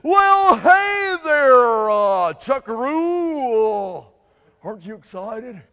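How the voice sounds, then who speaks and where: A man's voice: extremely high pitch, big pitch swings, drawn-out words, bright, forceful tone, clear, resonant tone, long reverb tail, exaggerated voice. A man voicing a dopey, giggly, stammering Midianite soldier who is itching for battle, in a comedic Bible sketch. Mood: giddy, excited.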